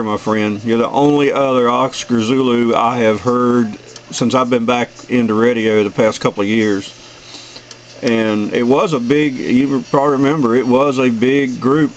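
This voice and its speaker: A man's voice: medium pitch, muffled recording. Folksy American man on a noisy radio recording. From United States. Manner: friendly sign-offs, folksy. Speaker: amateur radio operator. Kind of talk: casual radio contact chat.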